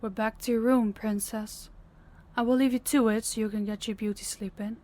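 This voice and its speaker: A woman playing a guarded but caring young rival princess in an intimate fantasy audio roleplay, reserved and measured. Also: fairly high pitch, steady tone, a little mellow, close to an everyday voice. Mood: guarded, gentle.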